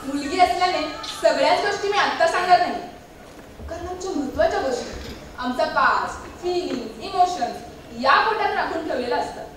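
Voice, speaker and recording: A woman's voice: very high-pitched, expressive, normal speed. A woman acting an outspoken young woman who resists arranged marriage, declaiming emphatically in a stage play. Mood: indignant. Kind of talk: theatrical stage monologue. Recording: echoey stage hall from far, clear noise.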